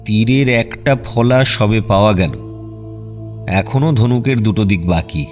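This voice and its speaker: A man playing an astute private detective in an audio drama, speaking in a measured, analytical, confident way. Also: fairly low pitch, a little mellow, soft background music, natural voice. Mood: probing, analytical. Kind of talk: Bengali radio drama acting.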